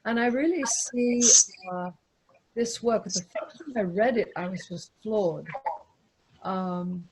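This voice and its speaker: A woman's voice: mid-range voice, slow, a little mellow, slight background noise, low-quality recording. A woman leading a reflective discussion with an audience, speaking hesitantly and repeating words and phrases for emphasis.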